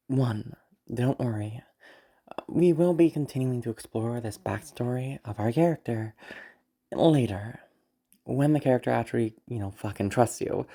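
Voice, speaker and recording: A woman's voice: rumbling low, gravelly, dark tone. A woman talking casually to her listeners as an audio creator, explaining things in a rambling, conversational way. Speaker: audio roleplay creator and performer.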